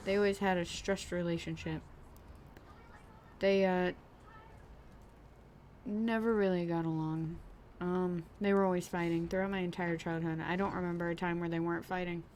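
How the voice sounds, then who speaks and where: A young woman talks in a slightly low voice, stopping and restarting often as she plays a game. She is recorded in her bedroom with a faint background hiss. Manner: hesitant, lots of ums and restarts, self-deprecating; talks while playing a driving video game. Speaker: vlogger. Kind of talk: casual personal story time.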